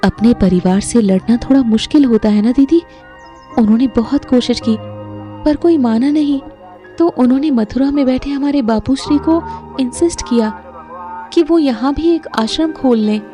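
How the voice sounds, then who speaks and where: A young woman playing a sweet, trusting unwed mother in an audio drama, speaking softly and earnestly. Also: high voice, moderate pace, soft background music, close to an everyday voice. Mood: earnest, reassuring. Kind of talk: Hindi audio drama acting.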